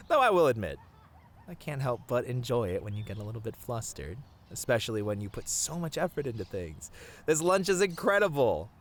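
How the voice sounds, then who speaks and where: A man playing a sweet, bashful young boyfriend in a romantic roleplay, talking to the listener in a tender, halting, earnest way. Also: mid-range voice, very animated. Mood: fond, lighthearted. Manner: soft-hearted, halting, sighing, chuckling, gently earnest.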